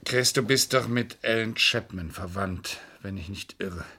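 A low, husky, curt lawyer. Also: male voice, a little slow, bright, forceful tone, exaggerated voice. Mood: businesslike. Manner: curt, controlled, polite but insistent. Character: determined, businesslike male lawyer, curt boss with a plan. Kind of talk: German radio crime drama acting.

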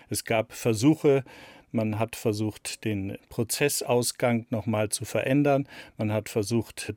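A man's voice: mid-range voice, darkish tone, dry recording, studio quality. A man in his sixties giving reflective, explanatory interview answers, repeating words for emphasis. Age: 60s. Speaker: radio play author being interviewed.

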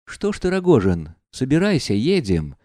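A man's voice: medium pitch, huge pitch swings, moderate pace, warm tone, no room sound, studio quality, voice pushed up. A man reading aloud and voicing a proud, reckless young woman who speaks in a bitter, mocking, defiant way.

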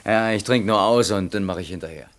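Man playing a friendly handy village bloke, radio play, deep voice, chats casually. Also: fairly quick, no room sound, lightly acted. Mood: relaxed. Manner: easygoing, casual, colloquial. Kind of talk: German radio play acting.

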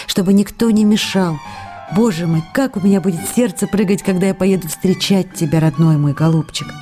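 A woman's voice: on the low side, warm tone, lightly acted. A woman reading as an ardent young actress. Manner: ardent, emotional, reproachful. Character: passionate young actress writing to her husband. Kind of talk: radio play letter reading. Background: music.

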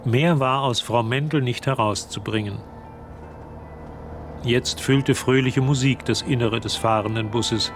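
An actor as a composed storyteller, reading scripted narration in a radio play. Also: male voice, mid-range voice, a little slow, natural voice. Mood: composed, descriptive. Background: music.

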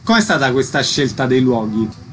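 A man's voice: mid-range voice, big pitch swings, moderate pace. A man hosting a radio arts programme, announcing and asking questions in a concise, announcer-like way. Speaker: radio host, interviewer. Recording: faint background noise.

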